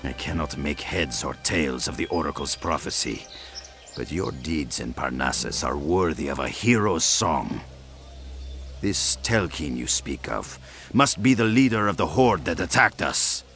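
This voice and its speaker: Proud tired spartan soldier, game acting, gravelly growl, big dramatic swings in pitch. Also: male voice, lively intonation, unhurried, a bit bright, soft background music, full character voice. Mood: grave, resolute. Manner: grave, declamatory. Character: proud, humbled battle-weary male Spartan warrior. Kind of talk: scripted fantasy game acting.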